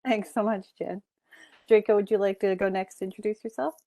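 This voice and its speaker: A woman's voice: medium pitch, steady tone, brisk, mellow, dry recording, clean studio sound. A woman moderating an online panel, welcoming and introducing each panelist with frequent "um"s. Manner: friendly, filler-heavy introductions.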